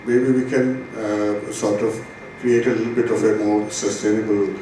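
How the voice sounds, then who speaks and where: Male conference speaker, somewhat deep, hesitant explanation, distant noisy recording. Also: flat delivery, moderate pace, warm tone. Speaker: conference presenter answering questions. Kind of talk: spontaneous explanation. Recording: very echoey, distant hall mic, noisy.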